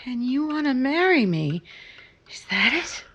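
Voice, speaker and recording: A woman playing a disillusioned, wistful married woman in a 1950s-style radio drama, breathy and husky, often dropping to a half-whisper. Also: sits high, sing-song, unhurried, fairly bright tone, full character voice. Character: disillusioned married woman, wistful, bruised, romantic, gently challenging.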